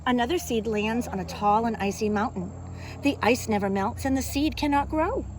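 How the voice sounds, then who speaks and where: A woman reading a picture book aloud to young children as a kindergarten teacher, in an expressive, teacherly way. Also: on the high side, moderate pace, soft background music. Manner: expressive, simple teacherly delivery with questions to young listeners.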